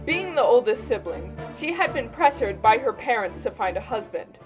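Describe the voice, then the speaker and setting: A woman reading scripted true crime narration in a matter-of-fact storytelling way. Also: fairly high pitch. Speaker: narrator and host of a mock true crime series. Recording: music behind the voice. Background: background music.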